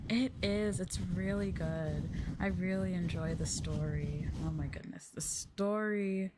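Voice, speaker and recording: Girl streamer doing soft asmr talk, slightly low voice, kinda sleepy, just chatting w viewers. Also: a little slow, background noise. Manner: soft, gentle talking. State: sleepy, winding down. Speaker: virtual streamer hosting a live stream. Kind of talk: ASMR soft-spoken casual chat with viewers.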